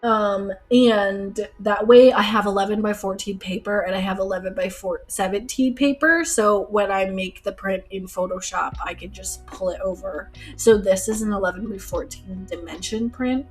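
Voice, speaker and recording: A woman's voice: mid-range voice, steady tone, normal speed, few pauses. A young woman streaming live while she paints, chatting casually with lots of fillers, her nose stuffed up. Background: soft lo-fi music.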